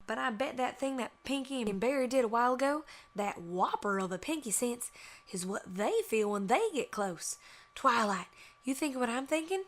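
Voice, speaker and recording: A woman plays a plain-spoken farm mare in an audio storybook. Her voice sits a little high. She talks in a folksy country drawl. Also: lively intonation, normal speed, natural voice. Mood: musing. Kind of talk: dramatised fan fiction reading.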